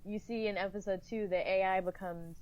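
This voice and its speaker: A woman's voice: medium pitch, steady tone, brisk, a little mellow, lo-fi sound. A woman guest on a podcast round-table, talking in a thoughtful, rambling way with small laughs. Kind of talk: casual round-table chat. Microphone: video call.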